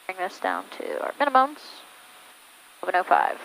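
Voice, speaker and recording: Female pilot, creaky voice, terse self-narrated flying callouts and radio calls, heard over a faintly noisy cockpit intercom. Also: on the low side, very animated, normal speed, fairly bright tone. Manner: clipped checklist-like callouts. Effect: aviation intercom and radio headset. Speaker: pilot flying instrument approach. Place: small airplane cockpit in flight. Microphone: aviation headset.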